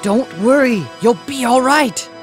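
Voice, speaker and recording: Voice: medium pitch, lively intonation, a little slow, soft background music, close to an everyday voice. A performer voicing an anxious, earnest young man who narrates and acts in a horror manga dub. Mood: reassuring, urgent.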